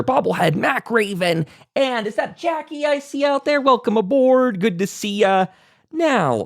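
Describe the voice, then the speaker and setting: A man hosting a gaming livestream round table in a talkative, informal, animated way. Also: on the high side.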